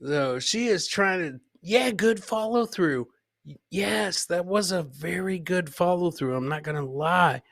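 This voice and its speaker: A man's voice: high-pitched, expressive, unhurried, fairly bright tone, no room sound, studio quality. A man hosting a live comedy podcast, giving casual, rambling commentary on clips and repeating his catchphrases.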